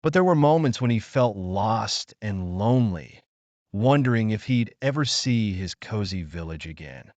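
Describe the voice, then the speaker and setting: Guy telling a kids bedtime story, low voice, really animated, dry close sound. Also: moderate pace, no room sound, muffled recording. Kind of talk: scripted children's bedtime story narration.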